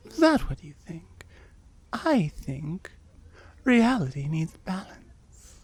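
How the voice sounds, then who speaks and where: A woman acts out a seductive immortal oni demoness for an intimate ASMR audio drama. Her voice is mellow and breathy, often sinking into whispers. She takes her time, swooping through her lines with smug, coaxing playfulness. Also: mid-range voice, full character voice. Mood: calmly offended. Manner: slow, coaxing, teasing.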